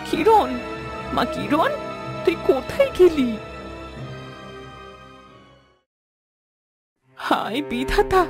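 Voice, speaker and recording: Expressive, emotional village mother. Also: sits high. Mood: panicked, anguished. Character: poor fisherman's wife, tender, devoted adoptive mother. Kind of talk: Bengali fairy-tale cartoon acting.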